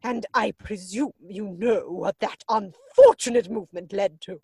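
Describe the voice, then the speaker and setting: A woman's voice: medium pitch, very animated, a little slow, dark tone, dry recording, exaggerated voice. A woman playing an imperious, snobbish older upper-class lady in a theatrical comedy reading, pompous and disapproving. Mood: scandalised. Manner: pompous, disapproving, crisply emphatic. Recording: dry home video-call recording.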